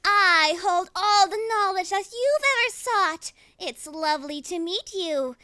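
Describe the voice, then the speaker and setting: A woman voicing a mysterious, sinister creature of the thicket that coaxes in a soft, sweet manner. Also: extremely high pitch, lively intonation, dry recording, pitched above the performer's own voice, heavily acted. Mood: sweetly coaxing. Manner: soft, sweet, coaxing, slow delivery.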